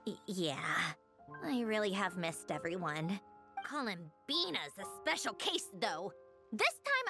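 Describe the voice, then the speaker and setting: A woman's voice: medium pitch, natural voice. An actress playing a haughty, prickly young female scientist in a video game, speaking with a dry, theatrical superiority. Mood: grudging. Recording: game music under the voice.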